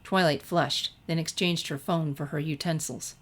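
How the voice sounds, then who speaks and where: A woman narrates a story from a script. Her voice sits a little low as she reads the prose aloud. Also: fairly even intonation, moderate pace. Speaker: fan fiction reader.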